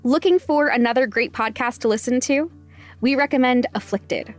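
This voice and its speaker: A woman's voice: high voice, normal speed, dry recording. A woman reading scripted podcast credits and announcements as the show's creator. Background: faint music.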